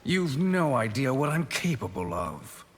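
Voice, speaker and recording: An actor playing an arrogant, scheming sorcerer in a video game, with a haughty, dismissive manner. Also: male voice, medium pitch, sing-song, unhurried, slightly rough. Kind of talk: video game villain acting. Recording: reverberant hall with faint noise.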